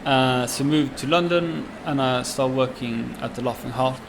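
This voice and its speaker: Man, twenties, Swedish accent, halting, fillers, mid pitch, noisy background. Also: gravelly. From Sweden. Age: 20s. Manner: halting, many fillers and restarts. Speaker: chef and restaurant owner. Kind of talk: casual interview.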